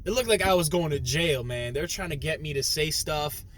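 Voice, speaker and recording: A young man telling a slangy, animated story straight to camera as a vlogger. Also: a little high, moderate pace, a little hiss or hum. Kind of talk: casual storytelling vlog.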